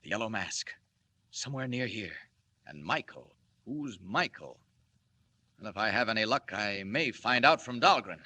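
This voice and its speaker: An actor playing a bold, alert flying superhero, thinking aloud emphatically in 1940s radio style. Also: male voice, fairly low pitch, lively intonation, normal speed, long pauses, lightly acted. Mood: alert, puzzled. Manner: emphatic, talking to himself. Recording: old radio broadcast, loud noise behind.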